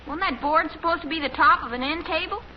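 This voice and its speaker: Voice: very high-pitched, fast. A young performer playing a curious, blunt boy in a 1950s radio sitcom. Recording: muffled, very noisy old radio recording.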